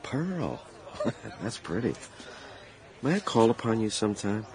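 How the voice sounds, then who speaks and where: Deep, lively, flamboyant salesman. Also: a little slow, crowd noise, rough recording. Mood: charming, courting. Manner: flattering, self-assured. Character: Beck Tull, flamboyant, ambitious travelling salesman, smooth-talking suitor. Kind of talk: radio drama acting.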